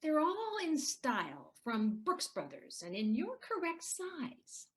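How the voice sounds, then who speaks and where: Woman playing sardonic boozy ex-wife in a radio play, high voice that swings all over, bitter and taunting. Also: huge pitch swings, slow pace, a bit bright, exaggerated voice. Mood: mocking. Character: bitter, mocking, hard-drinking ex-wife. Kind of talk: audio play acting.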